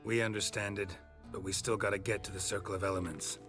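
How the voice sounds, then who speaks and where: An actor playing a stoic, grey-haired veteran warrior in a fantasy game, dry, terse and deadpan. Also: male voice, lowish voice, fast, over music, lightly acted. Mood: dry, matter-of-fact.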